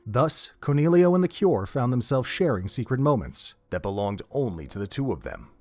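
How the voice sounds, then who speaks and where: A man narrating an audio drama in an expressive storybook manner. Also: mid-range voice, normal speed. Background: faint music.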